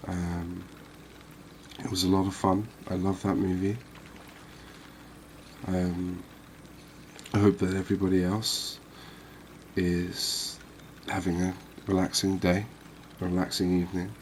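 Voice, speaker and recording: Slow, low-pitched man, softly spoken. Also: slight background noise, low-quality recording. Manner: unhurried, calming, reassuring. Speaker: host of a cozy gaming channel. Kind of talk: soft-spoken ASMR game commentary.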